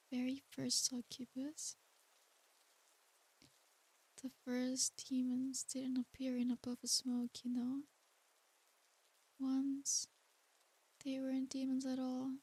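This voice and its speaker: A woman playing an ancient, tender and seductive succubus demon in a soft ASMR bedtime roleplay. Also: sits high, full character voice. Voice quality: soft, breathy. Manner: slow, intimate, soothing bedtime delivery.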